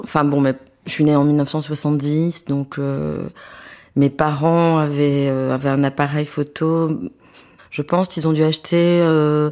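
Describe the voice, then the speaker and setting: A woman in her fifties, a translator and author, talking in a relaxed, thoughtful way with many small restarts, seated at home. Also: sits low. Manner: hesitant, thoughtful, repeats words when starting. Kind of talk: relaxed conversational interview. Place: apartment living room, seated on a sofa.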